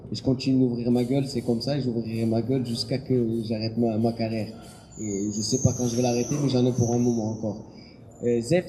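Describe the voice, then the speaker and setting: A man speaking as a boxer at a press conference. He is brash, cocky and joking. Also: mid-range voice. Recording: long hall reverb with clear background noise.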